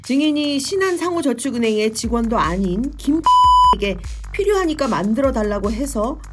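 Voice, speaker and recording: Woman playing a high-voiced formal courtroom questioner. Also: lively intonation, normal speed, lightly acted. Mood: formal, pressing. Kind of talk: scripted courtroom reenactment in cartoon explainer. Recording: music bed behind the voice.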